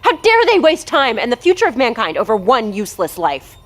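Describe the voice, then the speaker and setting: A woman's voice: fairly high pitch, huge pitch swings, brisk, a bit bright, full character voice. Woman acting haughty, callous scientist. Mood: angry, exasperated. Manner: haughty, cutting, emphatic. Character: arrogant, callous, impatient female research doctor in charge. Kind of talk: scripted audio drama dialogue.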